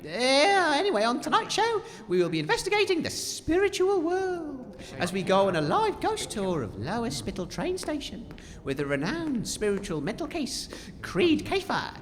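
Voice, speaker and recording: A man playing an excitable, easily frightened radio presenter in a comedy audio drama, stammering and panicky. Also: very high voice, normal speed, full character voice. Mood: nervous, presenting. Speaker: radio show host.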